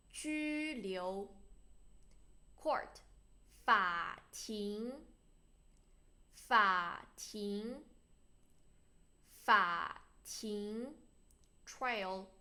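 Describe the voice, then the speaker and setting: A woman with a Chinese accent, a native Mandarin speaker, teaching vocabulary as a language teacher and enunciating each word deliberately for learners. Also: medium pitch, bright, forceful tone. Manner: enunciates words deliberately, syllable by syllable. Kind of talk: slow vocabulary lesson with words repeated for learners.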